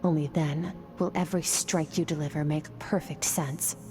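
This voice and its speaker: Game casting: cool, measured swordmaster mentor, lower register. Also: female voice, soft background music. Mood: stern. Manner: calm, measured, instructive. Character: stern, composed woman sword master teaching. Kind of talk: game voice acting.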